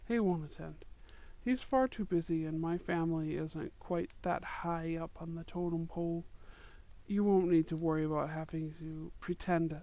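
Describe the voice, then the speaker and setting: A man voicing a young male wizard courting his sweetheart in an ASMR roleplay, soft-spoken and affectionate. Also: a little high, fairly even intonation, moderate pace, no room sound, close to an everyday voice, rough recording. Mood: tender. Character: young male wizard, tender romantic suitor.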